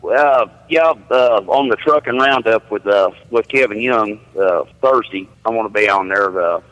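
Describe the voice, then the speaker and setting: A man's voice: fairly low pitch, normal speed, fairly bright tone. Southern-accented man on a phone line. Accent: American Southern. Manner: drawling, easygoing, frequent restarts. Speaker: guest truck driver and musician. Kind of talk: casual chat over the phone. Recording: phone call with delay.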